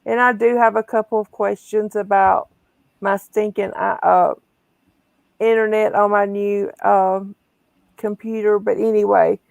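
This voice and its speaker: A woman's voice: mid-range voice, level tone, unhurried, vocal fry, darkish tone, no echo. A woman hosting a casual livestream, chatty and often restarting her phrases. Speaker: livestream host.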